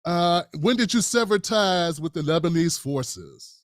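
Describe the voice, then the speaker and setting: A man hosting a live stream, his voice sitting a bit higher than most men's. He talks in a measured way, circling back with fillers and restarts. The sound is dry and close, with no room in it. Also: animated, no echo. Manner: measured, many fillers and restarts, calls guest brother. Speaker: host.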